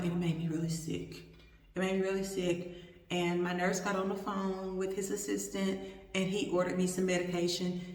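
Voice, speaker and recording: A woman giving a casual, candid personal review, repeating phrases for emphasis, recorded in an echoey room at some distance from the mic. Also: on the low side. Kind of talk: casual chat.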